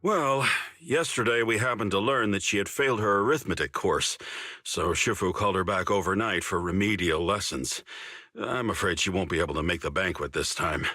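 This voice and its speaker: An actor playing a cunning, easygoing senior disciple in a video game, explaining things with confidence and expression. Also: male voice, low-pitched, moderate pace, pushed and bright, dry recording, studio quality, soft background music. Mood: easygoing, persuasive.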